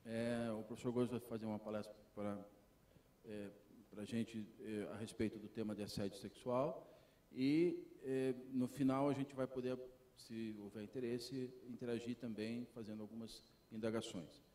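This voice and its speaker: A man's voice: medium pitch, steady tone, normal speed, dark tone. A man moderating an event, introducing the next speaker with hesitant "é" fillers. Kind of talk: formal introduction.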